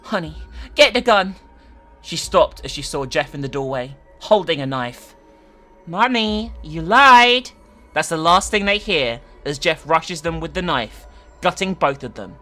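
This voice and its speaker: A woman narrator gives a dramatic reading with casual commentary. Her voice is bright and forceful with very animated pitch, and faint music plays behind her. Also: moderate pace.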